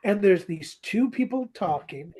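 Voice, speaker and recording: A man co-hosting a podcast, casually retelling a story in an animated way. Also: high voice, measured pace, slightly rough. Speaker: podcast co-host. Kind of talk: casual chat, retelling a radio drama.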